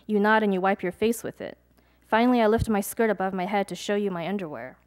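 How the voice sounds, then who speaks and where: Woman reading her poems to an audience. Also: mid-range voice. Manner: measured, deliberate reading with chatty hesitant asides between poems. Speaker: poet. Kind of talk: poetry reading with casual asides to an audience. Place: live reading event before an audience.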